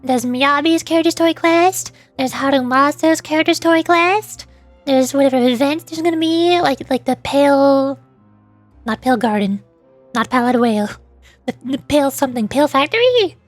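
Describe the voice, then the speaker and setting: A woman with a high voice chats casually on a livestream. She rambles and restarts her thoughts, her voice a little worn. The sound is very dry, with faint music behind. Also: normal speed, no room sound. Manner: rambling, self-interrupting. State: voice starting to get worn out. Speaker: VTuber livestreamer.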